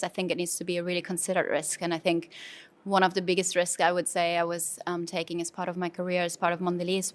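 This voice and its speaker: A woman from Germany with a German accent, speaking as a guest in a conversational interview, thoughtful, with frequent fillers and restarts. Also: fairly low pitch. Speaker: brand manager, interview guest. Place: conference venue.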